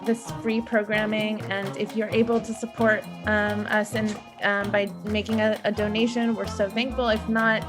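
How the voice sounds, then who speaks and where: A woman hosting a children's online event from a bedroom, giving informal thanks and announcements in a halting way. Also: medium pitch, level tone, over music. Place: bedroom, live stream.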